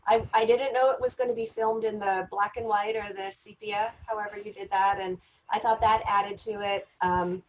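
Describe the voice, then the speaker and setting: A woman's voice: medium pitch, steady tone, normal speed, few pauses, low-quality recording. A woman chatting casually as an actress on an online panel. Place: indoors, online video seminar.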